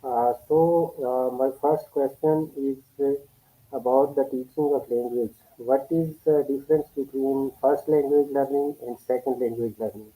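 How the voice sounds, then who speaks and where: A man's voice: medium pitch, steady tone, a little slow, warm tone, poor audio. A man from Kashmir hosting a podcast interview over a video call, speaking hesitantly with many uhs. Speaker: podcast host, teacher.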